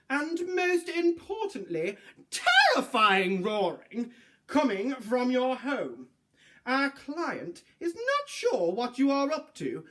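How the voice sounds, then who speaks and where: A man's voice: very high-pitched, fairly bright tone, voice pushed up, exaggerated voice. A man voicing a stern, indignant lawyer in a children's storybook, formal and pompous. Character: stern, indignant, formal legal representative writing a complaint. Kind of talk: children's storybook read-aloud.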